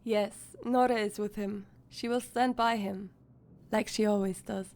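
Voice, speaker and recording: A woman's voice: mid-range voice, steady tone, measured pace, darkish tone, natural voice. A woman playing a thoughtful, caring young woman in an audio drama, speaking earnestly.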